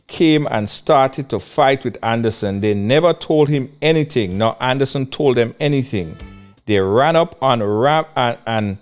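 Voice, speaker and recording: A man's voice: mid-range voice, clean tone. A man hosting a call-in radio show, talking emphatically and repeating his points. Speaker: radio talk show host.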